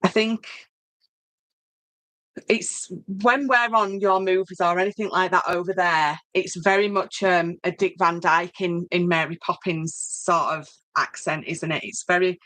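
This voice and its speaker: A woman's voice: lowish voice, fairly even intonation, measured pace, fairly bright tone, no room sound. A woman with a Yorkshire accent hosting an informal craft demonstration, chatting to viewers and thinking aloud as she works. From Yorkshire, northern England. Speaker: craft tutorial host.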